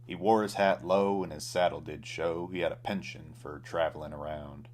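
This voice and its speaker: A man's voice: extremely low pitch, fairly even intonation, normal speed, a little mellow. A man narrating a comic Western ballad in a dramatic, rhythmic storytelling manner. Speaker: storyteller, author reading own ballad.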